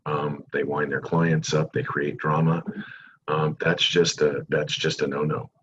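A middle-aged American man, a real estate agent, answering interview questions at length in a calm, measured way. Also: highs cut off, background noise. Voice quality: calming low voice. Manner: calm, measured, long-winded explanations.

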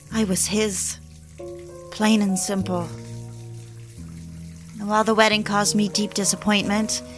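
A woman's voice: mid-range voice, natural voice. An actress playing a wistful, obsessive young newlywed who confides her story in genteel, literary dramatic narration. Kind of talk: first-person dramatic narration for an audio drama. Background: background music.

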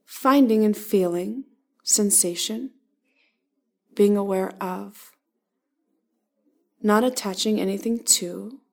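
A woman leading a guided body-scan meditation, giving calm, gentle instructions in short, spaced phrases. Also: mid-range voice, slow pace, gravelly, long pauses.

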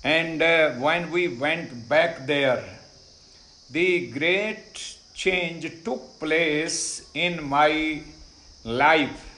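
A middle-aged Indian man whose first language is Hindi, telling his faith story slowly and deliberately, pausing on many "uh"s and repeating phrases. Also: on the high side, sharp tone, reverberant. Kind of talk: unhurried personal testimony. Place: ashram in India.

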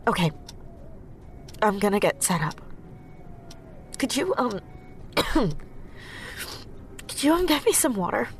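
An actress playing a distressed, apologetic young activist in an audio drama, speaking hesitantly. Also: female voice, medium pitch, sing-song, slow, long pauses, a little hiss or hum. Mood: embarrassed, shaken. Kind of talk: naturalistic audio drama acting.

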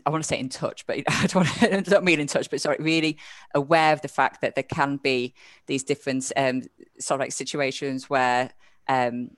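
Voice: medium pitch, fairly even intonation, a little fast, no echo. Hesitant host, dry close sound. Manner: thoughtful, hesitant, many fillers and self-corrections. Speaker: podcast host. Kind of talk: casual conversational interview.

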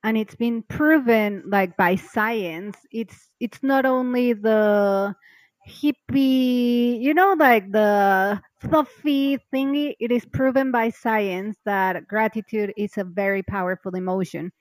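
A woman's voice: a little high, slow pace, no echo, rough recording. Bubbly chatty woman podcast host. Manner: bubbly, chatty, laughs often. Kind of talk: casual podcast interview.

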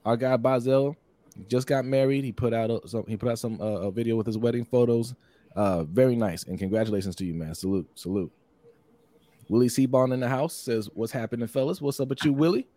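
A hoarse man hosting a casual live-streamed sports podcast, upbeat and repetitive, reading out viewer comments and giving shout-outs. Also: mid-range voice, a little fast, no echo. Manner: upbeat, repeats phrases, many shout-outs. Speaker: podcast host.

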